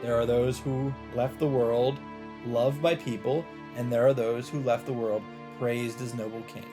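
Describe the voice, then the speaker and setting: A man reading a visual novel script aloud in an expressive narrating style. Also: mid-range voice, unhurried. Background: game music.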